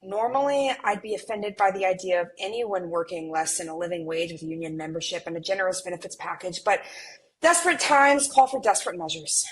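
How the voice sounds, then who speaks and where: A woman playing a dry, stubborn, principled Millennial twin at a romcom script read, with a deadpan manner. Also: fairly low pitch, brisk, slightly rough, poor audio. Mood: dry, earnest.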